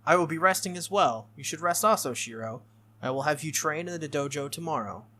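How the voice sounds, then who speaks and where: A man voicing a stern, formal young female warrior from a visual novel, speaking in a measured, earnest way. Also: a little high, animated, sharp tone. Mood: calm.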